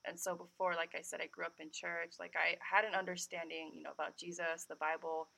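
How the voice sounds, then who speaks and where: Young woman with a gravelly, bright voice. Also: fairly low pitch, flat delivery, a little fast, rough recording. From California. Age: young adult. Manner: chatty, sprinkled with "like". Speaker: guest missionary. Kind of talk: casual personal testimony.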